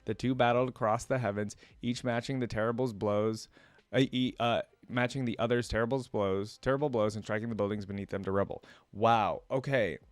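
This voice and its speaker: A man hosting a reaction video, casually reading stories aloud and commenting on them as he thinks out loud. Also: lowish voice, steady tone, brisk, dry recording.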